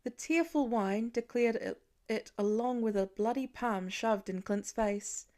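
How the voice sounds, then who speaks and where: A woman's voice: fairly high pitch, unhurried, a little mellow. Woman narrating a story expressively. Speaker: fan fiction audiobook reader.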